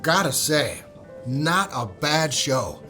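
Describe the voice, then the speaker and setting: An actor playing an easygoing, flattering network executive in an audio drama. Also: male voice, on the high side, slow, music in the background. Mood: breezy. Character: smooth male network executive, breezy.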